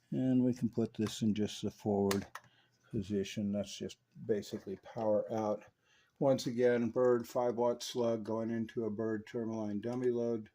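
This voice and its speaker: A man's voice: fairly low pitch, mellow, a little hiss or hum. A man working as a radio repair technician, methodically narrating a hands-on demonstration for a customer step by step. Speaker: radio repair technician presenting his restoration work.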